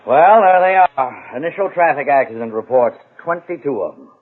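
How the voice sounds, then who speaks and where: A stern police captain played by a man in a vintage radio drama, with a husky voice of medium pitch. He is brisk, deliberate and used to giving orders. The recording is thin and narrow. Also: animated, close to an everyday voice. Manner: authoritative, deliberate. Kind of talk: 1950s radio drama acting.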